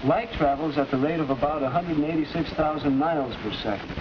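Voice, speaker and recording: Man acting as young American instructor, fairly high voice, didactic, patient, 1940s educational film, noisy old soundtrack. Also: a little fast, muffled recording, close to an everyday voice. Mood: explanatory. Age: young adult. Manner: didactic, clearly explaining.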